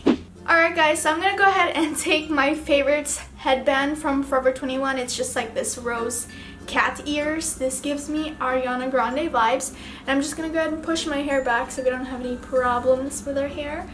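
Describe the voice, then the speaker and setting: High-voiced Filipino woman chatting casually. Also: steady tone, moderate pace, fairly bright tone, reverberant, a little hiss or hum. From Philippines. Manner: rambling, casual, lots of "like" fillers. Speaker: beauty vlogger. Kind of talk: chatty get-ready-with-me makeup vlog.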